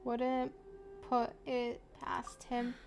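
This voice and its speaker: A woman game streamer casually reacting over gameplay with offhand, drawn-out comments. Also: a little high, completely flat delivery, soft background music.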